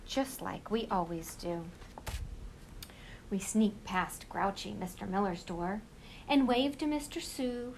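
A woman reading a children's picture book aloud in an expressive storytelling style. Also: mid-range voice, slight background noise, low-quality recording.

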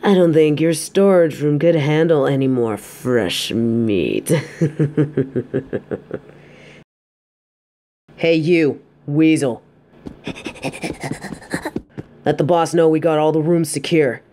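A man voicing a surly, menacing wolf bandit in an audio drama, gruff and dismissive. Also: on the high side, slow pace, pauses often, mellow. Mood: smug, menacing. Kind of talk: fan audio drama acting.

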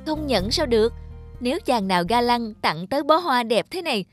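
A woman's voice: fairly high pitch, animated, normal speed, faint music behind, close to an everyday voice. Woman playing a playful, teasing girlfriend. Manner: playful, exclamatory. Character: teasing, chatty female office friend. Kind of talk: animated romantic drama acting.

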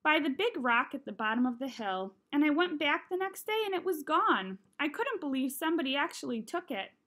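A woman reading the part of an eager, boastful schoolboy from a children's novel in a slangy, excitable way. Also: high voice, brisk, voice pushed up. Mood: put out.

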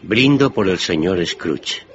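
Radio drama father: humble clerk, gentle, low, expressive delivery, dull recording. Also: slow, slight background noise, heavily acted. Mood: tender. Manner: gentle, placating. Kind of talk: Spanish radio drama acting.

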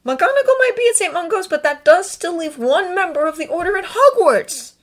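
A woman's voice: very high-pitched, animated, fairly quick, voice pushed up. A woman voicing an exasperated, argumentative teenage boy in a dramatized story reading, emphatic and insistent. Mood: exasperated, impatient.

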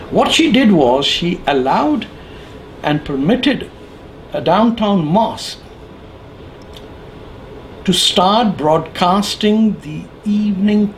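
Sardonic older man with swooping, lively pitch. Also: low-quality recording. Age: 60s. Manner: emphatic, sardonic, deliberate phrasing. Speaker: political commentator. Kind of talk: opinion monologue to camera. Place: living room with bookshelves, indoors. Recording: clear background noise.

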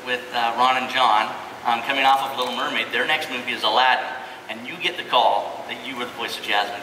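A man hosting an onstage panel, casual and chatty, telling stories in a jokey way. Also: medium pitch. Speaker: panel host. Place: large convention hall stage. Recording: echoey hall PA, distant, noisy crowd.

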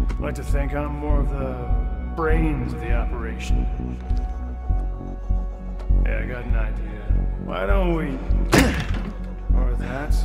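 An actor playing a gruff, sardonic man in a video game, muttering drily and cursing to himself. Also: medium pitch, close to an everyday voice. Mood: irritated, suspicious. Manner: muttering, dry, profane. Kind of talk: video game cutscene acting. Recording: loud music behind.

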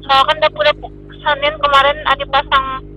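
A man's voice: extremely high pitch, completely flat delivery, brisk, pushed and bright, faint music behind. A man chatting casually as a fellow rider over a motorcycle intercom. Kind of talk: casual chat. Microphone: motorcycle helmet intercom. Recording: dull intercom link with vehicle noise.

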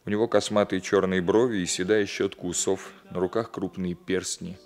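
A reserved captain from Milan in a Soviet radio drama. His voice is deep and measured, with few words wasted and a watchful composure. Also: steady tone. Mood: measured narration.

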